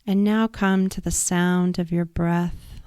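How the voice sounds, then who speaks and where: A woman guiding a yoga nidra relaxation practice in a calm, soothing, instructive manner. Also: on the low side, slow pace, clear, resonant tone, no room sound, clean studio sound. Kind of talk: guided meditation.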